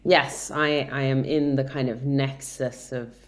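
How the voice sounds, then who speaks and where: A woman in her thirties with an American accent, originally from Brazil, speaks in a notably deep, low register. She answers interview questions at length, thinking aloud with frequent ums and small restarts. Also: steady tone, measured pace. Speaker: guest, product director.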